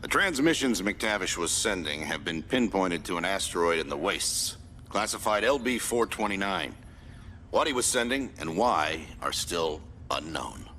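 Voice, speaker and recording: A man playing a businesslike military squad commander in a video game, giving crisp orders and briefings. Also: deep voice, sing-song, moderate pace, slight background noise, full character voice. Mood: stern. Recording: loud music under the voice.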